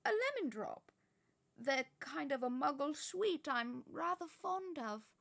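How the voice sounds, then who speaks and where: A gentle, whimsical old wizard, as a woman reads him aloud draws out his words slowly and softly. His voice is light and a touch high, with an amused, unhurried lilt. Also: big pitch swings, vocal fry, heavily acted.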